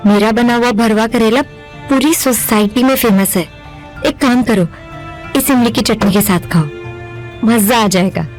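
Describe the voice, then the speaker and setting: Woman as kind, high-voiced young daughter. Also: measured pace, long pauses, faint music behind, lightly acted. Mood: caring, chirpy. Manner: gentle, caring. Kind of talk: Hindi audio serial drama acting.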